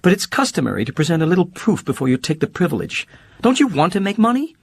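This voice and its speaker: A man voicing a brash, theatrical young woman who talks in a teasing, sharp-tongued way, for an audiobook. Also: high voice, big pitch swings, fast, slightly rough, pitched above the performer's own voice, heavily acted. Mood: cold. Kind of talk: audiobook character voice.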